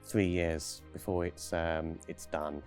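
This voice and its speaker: A man on an investor panel, talking in a relaxed, conversational way. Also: deep voice, slow pace, music in the background. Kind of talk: show commentary and questioning.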